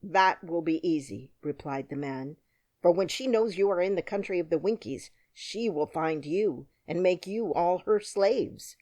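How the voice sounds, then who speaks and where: A woman's voice: on the low side, animated, measured pace, a little mellow. A woman playing a stern gatekeeper. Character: stern male gatekeeper officer.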